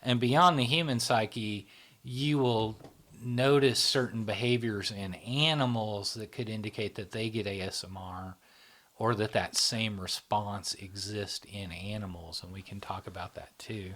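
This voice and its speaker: A man who founded a channel, explaining in a relaxed casual conversation, with many fillers and restarts. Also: fairly low pitch, unhurried.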